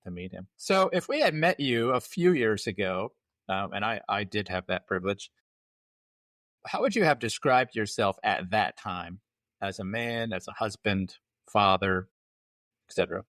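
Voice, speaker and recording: Low-voiced man hosting a podcast. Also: expressive, fairly bright tone, dry recording. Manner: earnest, measured questioning. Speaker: podcast host. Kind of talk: podcast interview.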